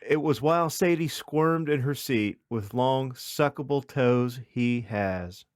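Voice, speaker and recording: A man's voice: medium pitch, slow, clear, resonant tone, no room sound, clean studio sound. A man hosting a podcast, reading a comic story in a mock-dramatic narrating style. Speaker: podcast host.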